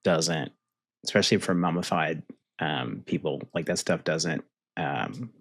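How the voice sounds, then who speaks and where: A man in a casual podcast chat with an understated, halting way of talking. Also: extremely low pitch, husky, no echo, clean studio sound. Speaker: podcast participant.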